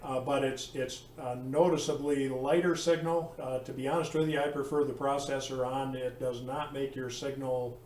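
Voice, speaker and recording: A man chatting casually as an amateur radio operator, speaking haltingly with many pauses. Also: mid-range voice, steady tone, darkish tone. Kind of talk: casual ham radio chat. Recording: roomy, distant mic with faint noise.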